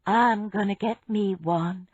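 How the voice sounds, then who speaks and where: A woman voicing a blunt, sharp-tongued, interrupting woman in an audiobook story, loud and drawling. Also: mid-range voice, takes it slowly, husky, no echo, lo-fi sound. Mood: brash, blunt.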